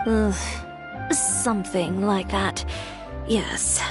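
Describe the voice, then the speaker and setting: A woman's voice: mid-range voice, steady tone, drawn-out words, music in the background. A woman playing a poised, exacting young fashion designer in a video game, composed, blunt and matter-of-fact. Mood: reluctant.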